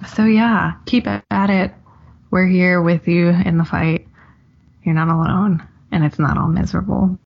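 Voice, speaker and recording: A woman hosting a casual podcast chat, relaxed and agreeable. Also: fairly low pitch, measured pace, creaky, dull audio. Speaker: podcast host.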